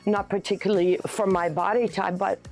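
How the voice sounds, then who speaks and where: A boutique owner from Belgium, talking about her shop in relaxed, slightly formal English. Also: medium pitch, a little fast, soft background music. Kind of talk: interview.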